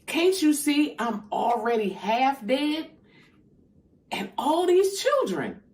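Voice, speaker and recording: A woman voicing an exhausted, ailing mother in a stage monologue, pleading with drawn-out words. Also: high-pitched, very animated, a little mellow, pitched above the performer's own voice, heavily acted. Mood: exasperated. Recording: clear background noise.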